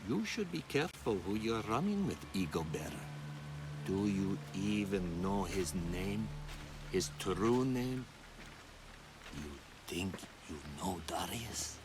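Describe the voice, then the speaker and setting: A deep-voiced villain taunts with cruel, sly menace, swinging widely between hushed drops and swells. It is theatrical video game acting, with rain and noise behind the voice. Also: male voice, sing-song, slow. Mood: taunting menace.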